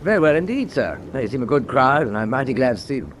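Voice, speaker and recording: An actor playing a courteous British naval first lieutenant with a British accent and brisk manner in a radio drama. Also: male voice, medium pitch, sing-song, close to an everyday voice. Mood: respectful. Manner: brisk, polite, officer-like. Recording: faintly noisy radio drama recording.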